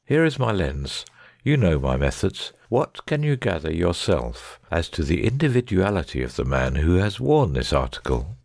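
A man playing a confident, analytical gentleman detective in a dramatic audiobook, precise and didactic. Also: low-pitched, very animated, moderate pace, vocal fry, no room sound, clean studio sound, exaggerated voice. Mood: assured, didactic.

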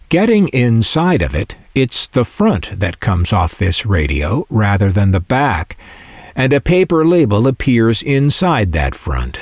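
An American man narrating a scripted documentary-style video as its host, with a wry, opinionated, measured manner. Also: lowish voice, dry recording, clean studio sound. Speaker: host of a vintage radio collecting channel.

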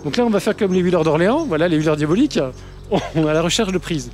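A man's voice: high-pitched, expressive, fast talker, a little mellow, soft background music. A young man chatting casually to an action camera outdoors as a trip vlogger. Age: young adult. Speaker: vlogger on an electric unicycle trip. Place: outdoors, village streets and forest trails. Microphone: action camera at arm's length.

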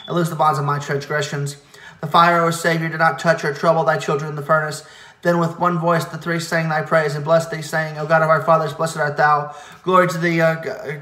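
A man solemnly reciting liturgical hymn verses in a measured way, now and then stumbling over a word. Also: on the high side, sharp tone. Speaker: reader of church liturgy. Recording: echoey, reverberant room.

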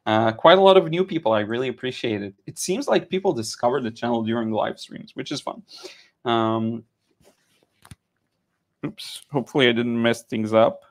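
A man in his thirties from Israel talks through a watercolor painting on a live stream. His delivery is loose and conversational, full of "uh"s and restarts as he answers chat and explains colors. He is recorded indoors in a home studio on a headset mic. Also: medium pitch. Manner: relaxed, chatty, frequent "uh" and repeated words. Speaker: watercolor art teacher.

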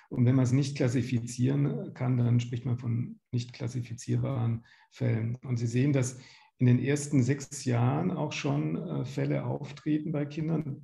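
A man in his fifties from Germany presents a lecture in a very dark, soft-edged voice with a noticeable fry. He explains things step by step, pausing and feeling for words, and the sound is that of a video call from an echoey room. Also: mid-range voice. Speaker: medical lecturer, pediatric gastroenterologist. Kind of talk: slide lecture to patients. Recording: roomy home or office echo.

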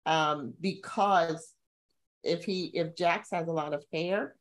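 A middle-aged woman co-hosting a casual chat over a video call from home, telling stories with sudden high-pitched bursts of emphasis. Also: takes it slowly. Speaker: co-host. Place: home room, indoors. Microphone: video call webcam.

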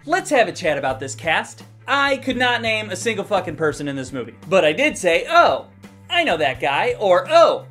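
A man in his thirties reviewing films to camera in a casual, comic, animated and sweary way. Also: high-pitched, normal speed. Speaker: film reviewer. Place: small indoor room. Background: faint background music.

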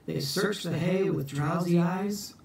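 A middle-aged man reads a children's picture book aloud in an expressive, theatrical, deliberate storytime manner. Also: sits high. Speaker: storytime reader for schoolchildren. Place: small room at home, indoors. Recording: echoey room, distant phone mic.

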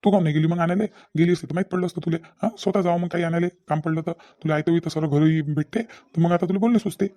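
Man acting irritable village father, very rapid speech, mellow dark tone, self-justifying, rural Vidarbha accent, comedy cartoon. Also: on the high side, steady tone, close to an everyday voice. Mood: irritated, defensive. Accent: rural Maharashtrian, Vidarbha. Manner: argumentative, self-justifying, repeats himself. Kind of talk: Marathi comedy cartoon dialogue.

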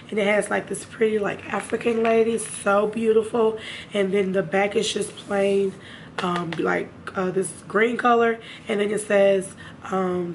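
A young woman with a raspy, hoarse voice, chatting casually to her viewers about makeup in a room at home. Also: mid-range voice, a little hiss or hum. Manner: chatty, addresses viewers directly. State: hoarse from a sinus infection. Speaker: beauty vlogger. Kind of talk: casual makeup haul chat.

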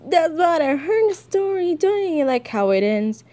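A woman's voice: very high-pitched, sing-song, measured pace, dark tone, voice pushed up, full character voice. A woman voicing a theatrical, playfully menacing young comic villain who taunts in a sing-song way. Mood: taunting.

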